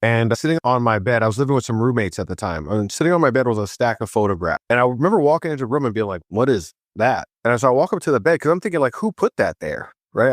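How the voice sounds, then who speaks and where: A man from Hawaii, a podcast guest, telling his story in a chatty, casual way and voicing himself with lively quotes. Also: medium pitch, no echo, studio quality. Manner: chatty, animated voice when quoting himself.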